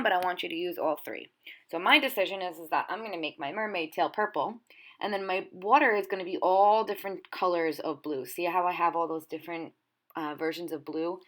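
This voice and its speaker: A woman with a fairly low voice speaks as an elementary art teacher. She explains a drawing technique step by step, addressing children directly and encouragingly. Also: a bit bright. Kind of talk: instructional demonstration for children.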